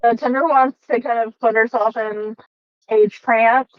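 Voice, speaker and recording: A woman's voice: on the high side, fairly even intonation, unhurried, dry recording. A woman playing a tabletop game from a car, musing aloud in casual, informal talk over a tinny connection. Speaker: player in a tabletop roleplaying game. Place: inside a car. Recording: tinny phone-like sound from a car.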